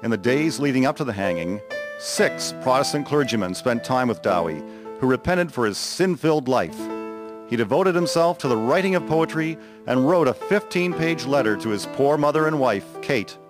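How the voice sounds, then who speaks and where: A man's voice: mid-range voice, lively intonation, normal speed. Male history narrator over guitar music. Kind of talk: scripted dramatic history narration. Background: plucked guitar music.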